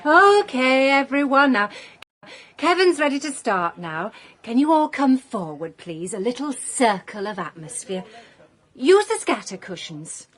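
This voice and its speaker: An actress playing a haughty, arty writers' group leader, affected and imperious, with a breathy, husky voice in a radio drama. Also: female voice, high voice, sing-song, a little slow, fairly bright tone, full character voice. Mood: gushing, bossy.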